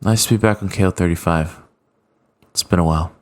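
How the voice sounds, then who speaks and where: A man's voice: sits low, brisk, voice pushed down, close to an everyday voice. A man voicing a determined, defiant young space ranger hero with dramatic delivery in a sci-fi story. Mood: wistful.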